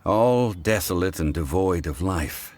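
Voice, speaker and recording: Very low, unhurried scholar-consultant, game dialogue, lively contour, light score behind. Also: male voice. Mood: steady, measured. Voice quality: measured, formal and deliberate. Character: calm ancient male consultant, scholarly, composed. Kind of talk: video game voice acting. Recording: game score playing faintly.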